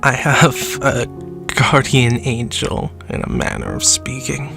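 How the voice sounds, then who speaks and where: A man's voice: medium pitch, wildly swooping pitch, slow pace, vocal fry, full character voice. An actor playing a sickly, dying man, bitter and self-loathing, who laughs wryly and drops to whispers, in a horror audio drama. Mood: weary. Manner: wry, mocking laughs, sinking to whispers. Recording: soft music underneath.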